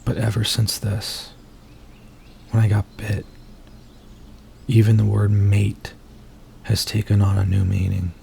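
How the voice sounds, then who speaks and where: A man acting an anguished, pleading werewolf in human form for an ASMR roleplay, speaking in an intimate, halting, confessional way with a gravelly voice. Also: fairly low pitch, completely flat delivery, dark tone, slight background noise, exaggerated voice. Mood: brooding, confessional.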